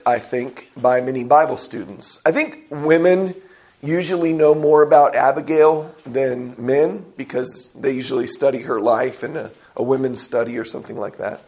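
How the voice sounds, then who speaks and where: A man preaching a sermon with a hoarse, raspy, weak voice from a sore throat, speaking conversationally with frequent pauses and fillers. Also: mid-range voice, a little mellow, highs cut off.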